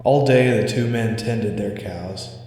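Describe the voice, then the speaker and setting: A man's voice: on the low side, slow, mellow. A man narrating a scripted spooky story as a storyteller. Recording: echoey reverberant hall sound.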